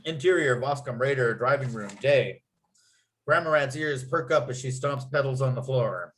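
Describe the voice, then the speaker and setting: A man's voice: mid-range voice, normal speed, a bit bright, low-quality recording. A man reading out screenplay scene headings and action as the narrator of a script table read. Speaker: narrator and writer.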